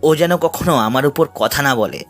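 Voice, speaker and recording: A man's voice: mid-range voice, moderate pace, a bit bright, faint music behind, natural voice. A man playing an abusive, domineering young husband in an audio drama, speaking harshly. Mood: angry, menacing. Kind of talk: dramatised audio story acting.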